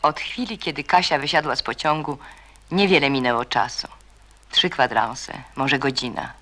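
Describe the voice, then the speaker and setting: A narrator reading a radio drama in a measured, suspenseful way, hushed at times. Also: medium pitch.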